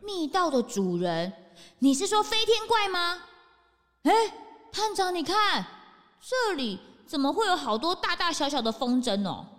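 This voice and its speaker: A woman voicing a young, excitable animal sidekick with a childlike, wide-eyed manner in a children's audio drama. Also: very high voice, measured pace, exaggerated voice. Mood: puzzled, curious. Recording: long reverberant echo.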